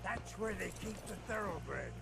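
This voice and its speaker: A man playing a bitter, haughty old landowner nursing a feud in a video game, his voice gravelly. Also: high-pitched, expressive, normal speed, loud music behind the voice, full character voice. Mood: sly, conspiring. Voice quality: gravelly, creaky. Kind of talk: video game cutscene acting.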